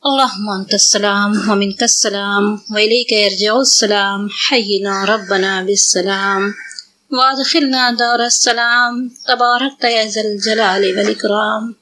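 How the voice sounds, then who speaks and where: Woman, slow pace, bright forceful voice, solemn pleading prayer recitation. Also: mid-range voice, fairly even intonation. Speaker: prayer leader. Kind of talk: Arabic supplication recitation.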